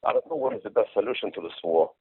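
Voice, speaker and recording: A middle-aged man from Ukraine giving measured, formal interview answers over a muffled phone call. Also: lowish voice, animated, vocal fry, husky. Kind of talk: considered, prepared interview answers.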